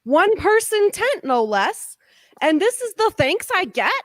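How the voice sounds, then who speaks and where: Radio drama storm villainess, haughty, animated, dry close sound; woman performer. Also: very high voice, measured pace, no echo, full character voice. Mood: indignant. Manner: theatrical, scornful. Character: haughty female storm supervillain striking bargains. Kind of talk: audio drama acting. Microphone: video call.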